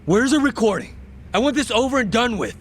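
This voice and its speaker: An actor playing a ruthless, imperious politician crime boss in a video game, arrogant and volatile. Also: male voice, very high voice, very animated, a little fast, slight background noise. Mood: agitated. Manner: imperious, profane. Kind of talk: English video game voice acting.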